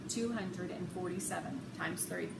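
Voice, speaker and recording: A woman narrating a children's novel aloud in an expressive storytelling style. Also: lowish voice, normal speed. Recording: echoey room with clear background noise.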